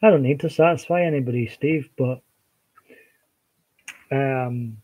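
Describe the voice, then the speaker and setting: A Scottish man hosting a casual livestream, chatting with viewers and answering their comments in a relaxed, meandering way. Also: mid-range voice, measured pace, slightly rough, low-quality recording. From Scotland. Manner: chatty, relaxed, with hesitant restarts. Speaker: livestream host.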